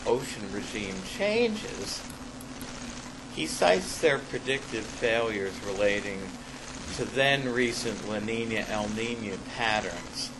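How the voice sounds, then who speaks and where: Older male academic, very slow halting read of a paper, clearly noisy classroom recording. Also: medium pitch, expressive. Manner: halting, deliberate delivery with repeats. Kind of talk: reading a paper aloud with asides.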